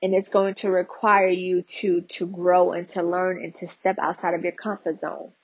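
A woman's voice: lowish voice, little pitch movement, moderate pace, husky, no echo, highs cut off. A woman podcast host giving a conversational devotional teaching, talking straight to her listeners with frequent "you know" and "right?" asides.

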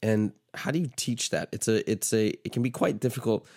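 A man's voice: lowish voice, fairly quick, a little mellow. A man hosting an interview podcast, upbeat and chatty, with a stuffy, congested voice. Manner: upbeat, asks loose rambling questions. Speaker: podcast host. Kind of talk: casual interview chat.

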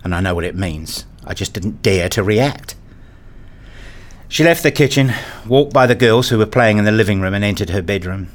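A man acting as a married father who tells his own story in a radio play. His voice sits fairly low, and he delivers the scripted narration in a measured, reflective manner, wistful and a little fussy. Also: lightly acted. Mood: resigned.

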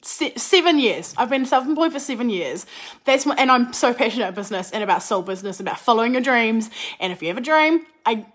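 A young woman, a business and mindset coach, talking in a chatty, animated way with a slightly croaky voice after a cold. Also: a little high, quick pace. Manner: chatty, animated, says "like" often. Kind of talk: casual podcast monologue.

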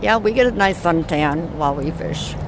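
A woman's voice: low-pitched, animated, moderate pace, background noise. A woman from the American Midwest with an American accent, casually sharing memories as an interviewee. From Midwestern United States. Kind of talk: casual reminiscence.

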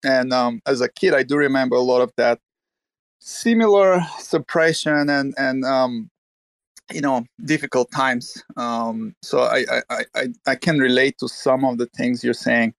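A man's voice: mid-range voice, measured pace, gravelly, fairly bright tone, no echo. A man from Bulgaria, earnest and full of restarts, talking in a casual online chat.

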